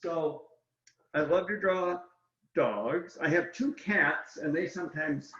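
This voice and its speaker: Higher-pitched man chatting in an echoey room. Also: slow pace, fairly bright tone, a little hiss or hum. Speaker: picture book illustrator, guest. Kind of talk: casual explanatory chat about art. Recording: roomy echo, slightly dull lo-fi sound.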